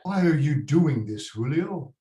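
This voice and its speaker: A man playing a stern, slow-spoken loving father. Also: on the high side, expressive, warm tone. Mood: gently teasing. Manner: deliberate, paternal, slips Spanish words into English. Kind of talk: dramatic table read. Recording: roomy echoing video call.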